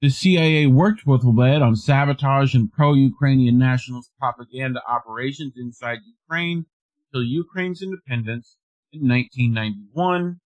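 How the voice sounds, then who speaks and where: A man's voice: medium pitch, normal speed, no echo, highs cut off. An American man in his thirties co-hosting a news show, reading articles aloud and commenting casually.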